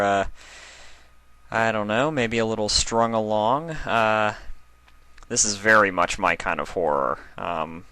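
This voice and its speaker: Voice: deep voice, bright, forceful tone, dry recording. A fan fiction reader narrating a story in a dramatised, scripted storytelling style.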